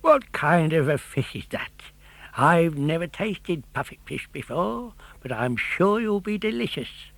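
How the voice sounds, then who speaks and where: A man's voice: fairly high pitch, animated, unhurried, creaky, a bit bright, dry recording, pitched above the performer's own voice, full character voice. A greedy, hot-tempered fisherman out of a children's story, performed by a man. He exclaims in a raised, wildly swooping voice and savours his words as he gloats. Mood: amazed. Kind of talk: audiobook character voice.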